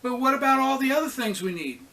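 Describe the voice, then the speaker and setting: A man's voice: very high-pitched, lively intonation, fast, pushed and bright, pitched above the performer's own voice, full character voice. A man voicing an eager, affectionate young village girl in a storybook, with wide-eyed, tender exclamations. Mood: disbelieving.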